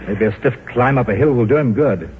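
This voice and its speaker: An actor in a 1950s radio drama playing a brusque, sarcastic senior boss, pompous and commanding, with a husky voice. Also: male voice, mid-range voice, fairly quick. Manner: pompous, scornful, barking orders. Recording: tinny, band-limited 1950s radio recording.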